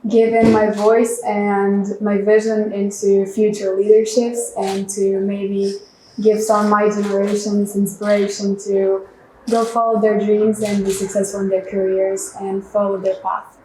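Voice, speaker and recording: A woman's voice: mid-range voice, monotone, measured pace, dark tone. A teenage female student with a Croatian accent introducing herself on a panel. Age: teens. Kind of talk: prepared self-introduction. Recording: echoey room, faint noise.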